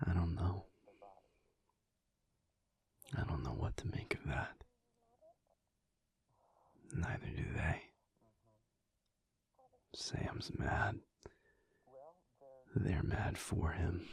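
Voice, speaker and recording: A man playing a weary, troubled alpha werewolf pack leader who confides in a hushed, halting, intimate audio roleplay monologue. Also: sits low, exaggerated voice. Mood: uneasy, uncertain. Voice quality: hushed, breathy whisper. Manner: slow, halting, pensive.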